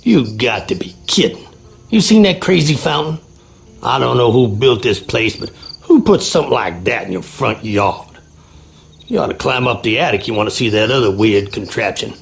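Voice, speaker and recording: Gravelly Southern handyman. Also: mid-range voice. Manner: folksy drawl, colloquial. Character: folksy, skeptical Southern handyman. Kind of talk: video game dialogue.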